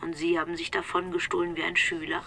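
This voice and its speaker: An actress playing a gently firm, coquettish mademoiselle in a German radio play, mildly scolding a reluctant-to-be-refused lover. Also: female voice, on the low side, flat delivery, quick pace, vocal fry, a little hiss or hum. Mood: surprised, reluctant.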